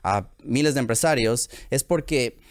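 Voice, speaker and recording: A man's voice: mid-range voice, normal speed, no room sound, studio quality. A man from Ecuador with an Ecuadorian accent co-hosting a business podcast in casual talk, often affirming the other speaker. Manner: affirming, frequent back-channel "ujú". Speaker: podcast co-host.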